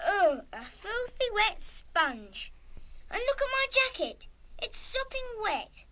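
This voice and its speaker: An actress playing a lively, excitable young schoolchild in a radio play, exclaiming expressively. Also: female voice, very high-pitched, takes it slowly, noisy background, full character voice. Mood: indignant. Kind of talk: full-cast children's radio play acting.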